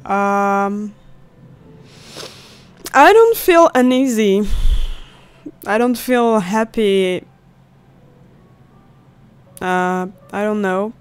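A woman's voice: mid-range voice, lively intonation, very slow, long pauses, smooth. A young woman giving casual let's play commentary, musing aloud as she plays, close to a studio mic. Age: young adult. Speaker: gaming video host. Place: indoors at home, seated close to mic. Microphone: studio condenser on stand. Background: game music.

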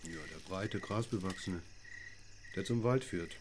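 A man's voice: low voice, measured pace, noisy background. An actor playing an easygoing doctor and father in a radio play, casual and wry. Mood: wry, relaxed. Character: easygoing adult male doctor and family father. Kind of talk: German radio play acting.

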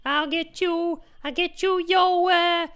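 A gushing, excitable farm woman, as a woman puts her on, speaks in a very high voice. She drawls her words slowly and dramatically. She keeps a silly, over-the-top manner throughout. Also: heavily acted. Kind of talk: improvised comedy role-play.

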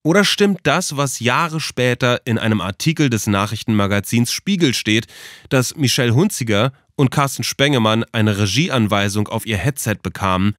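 A man's voice: mid-range voice, expressive, moderate pace, a bit bright, dry recording, clean studio sound. A man reading a scripted documentary narration for a podcast.

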